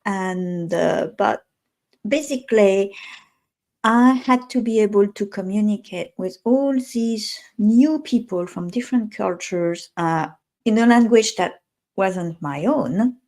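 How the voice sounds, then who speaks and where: A woman from France with a French accent, a native French speaker talking in English as a guest, thoughtful and pausing often to find the right words. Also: mid-range voice, a little mellow. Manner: thoughtful, pauses to search for words. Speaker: guest, communication specialist. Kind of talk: interview conversation.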